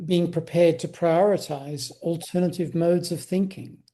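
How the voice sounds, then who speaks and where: Husky, mellow male podcast host. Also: a little high, fairly even intonation. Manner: measured, academic phrasing. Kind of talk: interview conversation.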